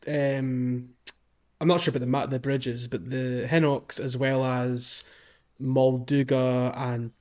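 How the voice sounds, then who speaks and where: A man's voice: medium pitch, flat delivery, takes it slowly, darkish tone, lo-fi sound. A man co-hosting a podcast, explaining game details in a casual, hesitant way. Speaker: podcast co-host. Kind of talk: casual gaming discussion. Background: background music.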